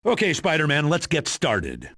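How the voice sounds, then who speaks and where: A man's voice: a little high, huge pitch swings, normal speed, a bit bright, slightly rough, no echo, clean studio sound, full character voice. A man playing a stern, businesslike spy commander giving video game mission briefings in an authoritative, matter-of-fact way.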